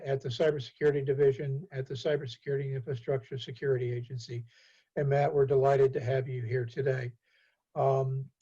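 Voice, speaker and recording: Male host for an online policy panel; flat, mellow tone, muffled call audio. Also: mid-range voice. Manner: many filler pauses, steady and cordial. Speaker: host and executive director. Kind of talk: event introduction. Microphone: video call.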